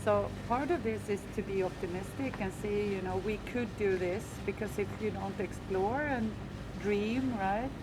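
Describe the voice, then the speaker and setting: A woman's voice: medium pitch, moderate pace. Female researcher, earnest, heavy background noise. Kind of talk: casual explanation.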